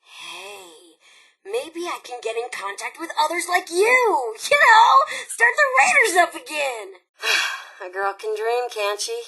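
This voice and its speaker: Game role: bored, bloodthirsty lady robot bandit, bright and forceful, very lively intonation, sardonic and flippant. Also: high voice, slow pace, heavily acted. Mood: eager, scheming, then wistful, scheming. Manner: sardonic, flippant, mock-sweet menace. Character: psychotic female robot raider, bored, gleefully violent, sarcastic. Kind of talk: video game character dialogue.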